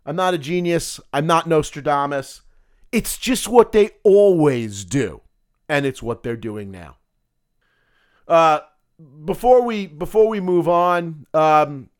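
Guy with a gravelly voice, sarcastic political rant, pitch all over the place. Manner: sarcastic, combative, emphatic. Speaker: political podcast host. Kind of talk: opinionated solo commentary.

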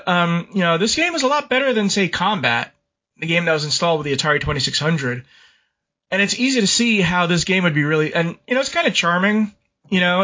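A man's voice: high-pitched, bright, forceful tone. A man co-hosting a gaming podcast, reading a history segment and chatting with frequent restarts and repeated words. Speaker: podcast co-host. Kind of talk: casual podcast chat and read-out history segment. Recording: lo-fi, dull sound.

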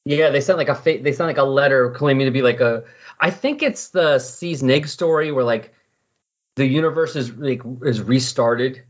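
Husky-voiced man chatting, slightly muffled. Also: mid-range voice, normal speed. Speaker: podcast co-commentator on comic books. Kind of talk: casual chat, recounting comic book plots. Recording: slightly dull, lo-fi audio.

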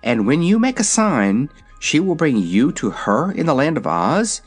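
A man's voice: fairly high pitch, big pitch swings, a little slow, slightly rough, faint music behind, pitched above the performer's own voice, exaggerated voice. A man voicing a curious, easily astonished and uneasy young boy in a children's story. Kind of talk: storybook character voice.

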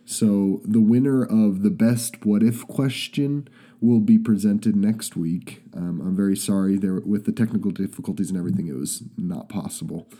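Young man, podcast host, stuffy nose, deep voice, mellow tone, chatty. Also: moderate pace. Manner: chatty, rambling with restarts. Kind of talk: casual chat.

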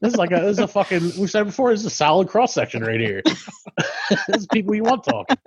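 A man's voice: sits high, very animated, fast talker, husky, no room sound, highs cut off. A man co-hosting a podcast in casual chat, rambling, swearing freely and laughing often, on a home mic over a video call. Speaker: podcast co-host.

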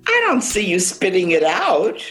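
A woman's voice: on the low side, huge pitch swings, moderate pace, fairly bright tone, soft background music. An actress playing a fussy, anxious germophobic woman in a radio play, with precise, prissy, easily exasperated delivery. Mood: irritated, fussy. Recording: home-recorded virtual radio play.

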